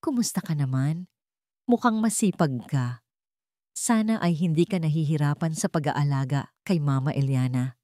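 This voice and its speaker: A woman voicing an elegant, wealthy villainess wife in a drama story, honeyed and condescending with a sharp edge. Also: low voice, moderate pace, no echo, clean studio sound, voice pushed down, close to an everyday voice. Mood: sweet, probing. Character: sweetly menacing, elegant rich wife, a villainess.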